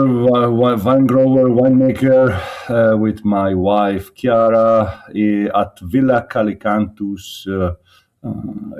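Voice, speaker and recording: Italian guy talking slow, lots of uhs and restarts, lowish voice, winemaker being interviewed. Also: highs cut off. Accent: Italian, slightly mixed. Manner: hesitant, many ums and uhs, restarts. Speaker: winemaker guest. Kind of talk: conversational interview answers.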